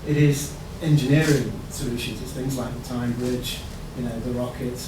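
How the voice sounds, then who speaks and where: A man in the audience making a reflective, unhurried comment at a panel discussion. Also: medium pitch, steady tone. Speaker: audience member. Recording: echoey room from far off, background noise.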